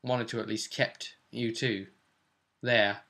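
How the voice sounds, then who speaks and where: A man's voice: on the low side, flat delivery, takes it slowly, vocal fry, sharp tone. A man giving a casual, rambling recap of a tabletop role-playing session as the game master.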